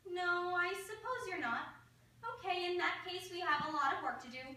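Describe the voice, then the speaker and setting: A woman's voice: very high-pitched, moderate pace, bright, forceful tone. A woman on stage playing a scatty, stern, oddly illogical schoolteacher in reader's theatre. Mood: brisk, scolding. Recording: very echoey room, distant mic, faint noise.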